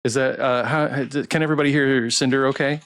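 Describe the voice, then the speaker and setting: Male livestream host, mid-pitched, casual and enthusiastic, stumbling over restarts as he chats. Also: fairly even intonation, fairly bright tone. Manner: halting, often restarting and repeating words. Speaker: livestream host interviewing a game developer.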